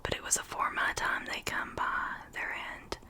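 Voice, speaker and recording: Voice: normal speed, sharp tone. A whispering reader doing an old shepherd. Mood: grave. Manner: whispered storytelling voice. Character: old rustic male shepherd, grave and superstitious.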